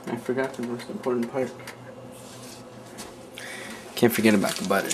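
Gravelly young man rambling in a kitchen. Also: mid-range voice, normal speed. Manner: rambling and offhand, with many fillers. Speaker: home cooking show host. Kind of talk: casual home cooking demo. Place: small home kitchen at night. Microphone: handheld camera mic, close. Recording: noisy home camera audio.

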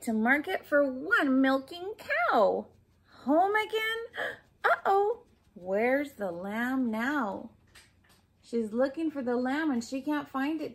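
A woman's voice: high voice, a bit bright, husky. A woman in her forties reading a children's picture book aloud expressively, speaking to young listeners with questions and asides. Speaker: storybook reader for children. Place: small furnished room at home, indoors.

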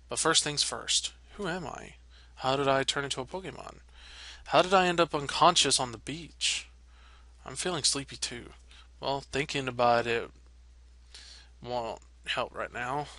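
A man's voice: mid-range voice, pushed and bright, no echo. Man reading a puzzled hero, thinking aloud. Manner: musing, hesitant.

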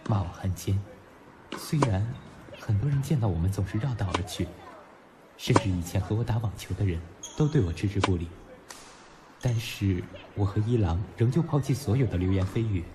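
A man's voice: lowish voice, lively intonation, normal speed, noisy background, natural voice. An actor playing a young male student in an audio drama, narrating reflectively as well as speaking in dialogue. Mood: wistful narration. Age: young adult. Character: young male student, nostalgic, proud, teasing. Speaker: lead actor and first-person narrator. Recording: music behind the voice.

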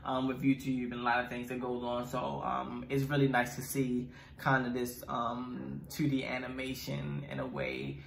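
Bright-voiced young man, echoey room. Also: mid-range voice, normal speed, vocal fry, hardly any pauses, slight background noise. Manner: effusive, repeats himself for emphasis. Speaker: reaction video host. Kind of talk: casual enthusiastic review chat. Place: small room indoors.

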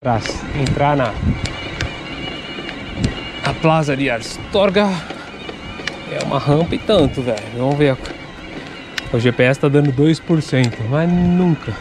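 A man's voice: on the high side, lively intonation, unhurried, pauses often, over music. A man with a stuffy, congested voice chatting casually as he cycles country roads, picked up by a camera mounted on his handlebars. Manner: chatty, loose and rambling, with restarts. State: congested from a cold. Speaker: cycling vlogger. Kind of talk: casual running commentary while cycling. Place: outdoors on a bicycle on country roads. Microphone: action camera on the handlebars.

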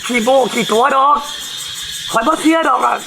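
A man's voice: very high-pitched, moderate pace, gravelly, background noise. A man with an Isan accent playing a cheeky, sceptical village youngster who teases his elder, in a folk comedy series. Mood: mocking. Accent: Isan, northeastern Thai. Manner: teasing, challenging. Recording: loud music under dialogue.

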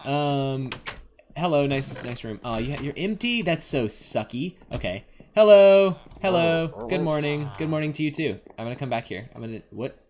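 Young guy doing a lets play, super up and down pitch, playful, rambles to himself and the characters. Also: expressive, normal speed, darkish tone. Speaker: gaming video host. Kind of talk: casual Let's Play commentary on an adventure game.

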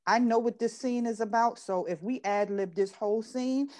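A middle-aged woman with an American accent speaks as an interview guest on a video call. Her voice is medium in pitch. She is frank and direct, explaining things conversationally. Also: normal speed, darkish tone. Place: indoor room, on a video call.

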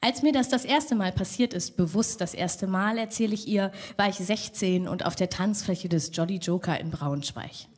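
Young woman with a cold, husky voice, wry spoken-word storyteller on a theater stage. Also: medium pitch, normal speed, hardly any pauses, darkish tone. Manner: frank, wry, conversational. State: has a cold. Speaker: poet. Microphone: stand mic.

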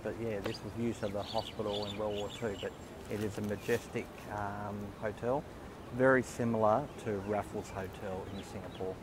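A man's voice: on the low side, fairly even intonation, normal speed. Man chatting on a noisy street. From Australia. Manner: repeats and restarts words, teasing talk about good value. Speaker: travel vlogger. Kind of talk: casual walking-tour chat. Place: outdoors on a busy city street. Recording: street traffic noise.

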